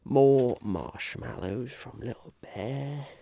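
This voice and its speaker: A man playing a scheming, eccentric businessman in an ASMR roleplay, musing haltingly and repeating his phrases. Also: mid-range voice, vocal fry, dark tone. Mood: pondering.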